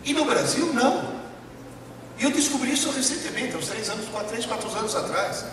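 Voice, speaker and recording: A man's voice: extremely high pitch, lively intonation, brisk. A man from Italy with an Italian accent, a professor lecturing emphatically to a live audience and putting rhetorical questions to them. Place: large lecture hall. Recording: echoey hall, distant mic, noisy.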